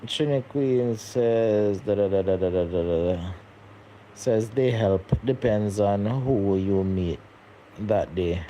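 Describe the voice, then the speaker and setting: A man's voice: lowish voice, slow pace, mellow, muffled recording, a little hiss or hum. A young man, hosting a casual live stream and chatting with viewers, rambling and repeating his words as he goes. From Jamaica. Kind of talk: casual live-stream chat reading comments.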